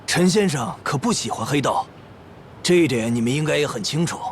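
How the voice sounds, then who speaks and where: An actor playing a suspicious underworld guard in a video game, talking in a challenging, accusatory way. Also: male voice, a little high, animated, brisk, slight background noise. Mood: accusing. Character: suspicious, confrontational male Chinatown underworld guard. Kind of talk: video game dub dialogue.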